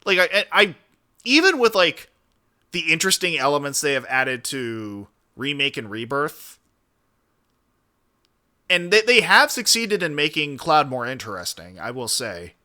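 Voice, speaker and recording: A man's voice: a little high, sing-song, unhurried, sharp tone. A man giving casual, opinionated commentary as a streamer, talking emphatically and wandering into digressions. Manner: emphatic, digressive, frequent swearing. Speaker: streamer reacting to a video.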